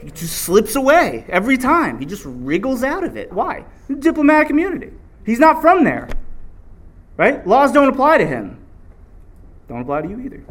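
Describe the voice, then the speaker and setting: A man preaching a sermon, reading scripture aloud and drawing answers back from the congregation. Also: high voice. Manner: animated call-and-response preaching.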